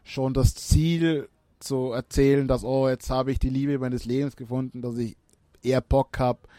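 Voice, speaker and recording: A musician, answering interview questions in a reflective way, with many restarts and fillers. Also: male voice, mid-range voice, flat delivery, unhurried, mellow, no echo. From Austria. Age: 30s.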